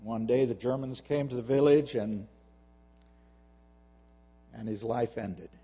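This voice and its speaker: A man telling a personal story out loud, halting and repeating words as he goes, with a long hall reverb around his voice. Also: mid-range voice, husky.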